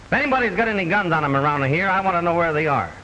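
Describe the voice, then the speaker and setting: An actor playing a brash young detective who takes charge, wisecracking in a 1940s radio drama. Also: male voice, on the high side, lively intonation, very fast, bright, forceful tone. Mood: commanding. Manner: breezy, commanding, wisecracking. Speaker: detective lead. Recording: old radio recording with hall reverb and faint hiss.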